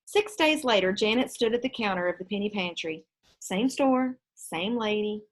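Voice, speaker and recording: A woman reading a children's story aloud in an expressive, teacherly storytelling style. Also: mid-range voice, moderate pace, poor audio.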